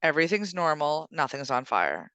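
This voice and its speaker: A woman's voice: sits low, sing-song, normal speed, fairly bright tone, smooth, no echo. A woman co-hosting a casual current-affairs chat, speaking in an emphatic, sardonic, slangy way. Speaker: co-host.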